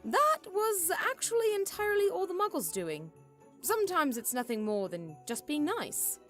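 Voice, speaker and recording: A woman playing a brisk, bossy young witch in a radio drama, explaining things emphatically. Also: very high voice, wildly swooping pitch, a bit bright, soft background music, full character voice. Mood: explanatory.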